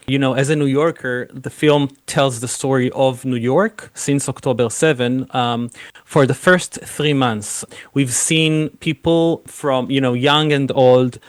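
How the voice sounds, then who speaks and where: A man's voice: medium pitch, dry recording. Israeli guy, film director being interviewed, earnest, lots of "you know", dry sound. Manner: earnest, fluent with frequent "you know" fillers. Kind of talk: interview answers.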